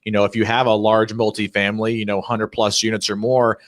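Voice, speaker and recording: A man's voice: fairly low pitch, level tone, a little fast, no room sound. Guy hosting a live video interview, dry close sound, rambly "you know" talker. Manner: chatty, lots of "you know" and "kinda" fillers. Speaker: host. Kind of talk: casual interview.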